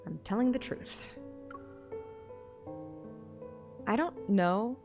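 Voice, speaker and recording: A woman's voice: medium pitch, normal speed, close to an everyday voice. A woman voicing a determined, guarded young heroine who bluffs confidence in romantic fantasy audiobook dialogue. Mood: earnest. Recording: music behind the voice.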